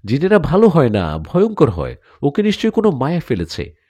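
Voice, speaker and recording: Worried mother for an audio story, voiced by a male narrator: fretful, questioning, lively pitch, soft tone. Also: brisk, dry recording. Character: worried village mother fretting over her daughter.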